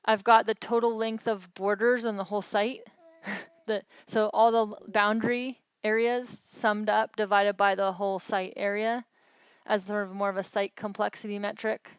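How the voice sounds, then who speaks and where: A woman's voice: medium pitch, little pitch movement, normal speed, clean tone, no room sound. A woman casually explaining technical work as a researcher on a phone call. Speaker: researcher explaining mapping metrics. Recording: slightly dull, lo-fi phone call recording.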